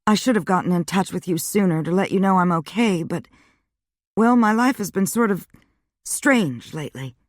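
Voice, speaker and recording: A woman voicing a guarded, uneasy young woman who talks in hesitant, halting sentences. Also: on the low side, lively intonation, no room sound, studio quality, pitched above the performer's own voice. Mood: subdued.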